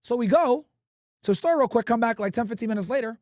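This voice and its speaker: A man's voice: extremely high pitch, fast, no echo, clean studio sound. A male livestream host giving brash, profane commentary and telling stories with lots of swearing. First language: English. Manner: brash, profane, animated storytelling.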